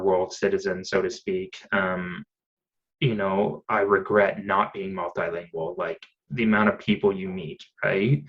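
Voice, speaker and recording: A man in his thirties talks in a loose, rambling way, full of ums and restarts. His voice sits very low. The audio is dull and lo-fi. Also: fairly bright tone. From Canada. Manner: chatty, rambling, many fillers and restarts. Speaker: podcast guest. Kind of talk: casual conversation.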